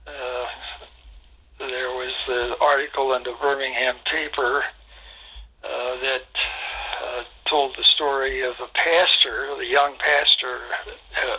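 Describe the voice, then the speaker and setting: A pastor in his eighties recalls the past in a halting, reflective way, pausing often on fillers. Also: medium pitch, pushed and bright, husky, rough recording. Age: 80s. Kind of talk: oral history storytelling.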